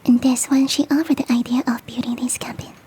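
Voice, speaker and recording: Woman voicing a high, whispery, sweet yeti girl. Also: level tone, brisk, breathy edge. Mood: wistful, warm. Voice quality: soft, whispery.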